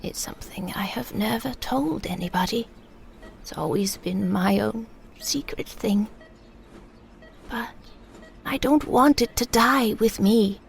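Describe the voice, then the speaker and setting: A woman playing a frail elderly dying mother in an audio drama, with a breathy, creaky voice, slowly and haltingly recalling her childhood. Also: mid-range voice, slight background noise. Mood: hushed, reminiscing. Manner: slow, halting, reflective storytelling. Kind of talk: intimate audio drama monologue.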